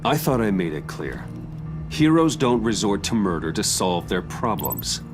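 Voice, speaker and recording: An actor playing a stern, brooding masked hero in a video game, terse and commanding, with a gravelly voice. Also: male voice, on the low side, big pitch swings, unhurried. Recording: loud music behind the voice.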